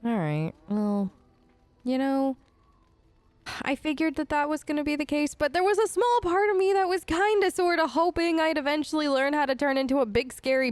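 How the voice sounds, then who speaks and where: A woman's voice: high-pitched, no echo. A woman improvising casual in-character chat for a role-play let's play, informal and slangy. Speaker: gamer role-playing her elf character.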